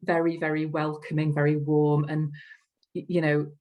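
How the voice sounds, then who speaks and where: A woman's voice: low voice, level tone, normal speed, darkish tone. A woman with a Liverpool accent, a podcast guest chatting enthusiastically and effusively, often repeating words as she goes. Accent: Liverpool (Scouse). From Liverpool, England. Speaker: guest, customer care strategy lead. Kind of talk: podcast interview chat.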